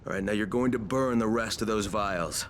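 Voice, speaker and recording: A man's voice: lowish voice, moderate pace, a little hiss or hum, lightly acted. A man playing a tough young survivor in a video game, speaking in a firm, terse and insistent way. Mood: stern, threatening. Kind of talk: video game cutscene dialogue.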